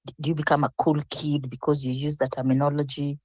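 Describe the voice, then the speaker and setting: Mujer mayor, charla reflexiva y pausada. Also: female voice, sits low, little pitch movement, dark tone, dry recording. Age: older. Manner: reflective, rambling with restarts. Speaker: panelist. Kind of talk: online panel discussion.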